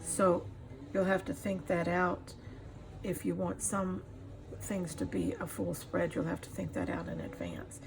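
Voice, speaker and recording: A middle-aged woman giving a casual craft demonstration as she works, pausing often to think. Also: fairly low pitch, big pitch swings. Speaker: craft tutorial host. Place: indoors at a craft table. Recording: clear background noise, room ambience.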